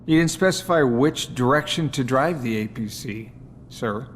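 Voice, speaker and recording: A man's voice: mid-range voice, moderate pace, slight background noise, lightly acted. A man playing a weary, determined marine survivor with a dry, terse way of talking in a video game. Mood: weary, resolute. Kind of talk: video game dramatic dialogue. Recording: long hall reverb.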